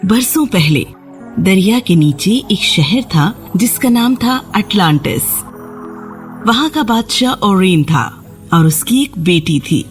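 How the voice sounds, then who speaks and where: A woman narrates a fairy tale for children in a scripted storytelling style. Her voice sits at a middle pitch, and music plays behind her narration. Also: expressive. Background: background music.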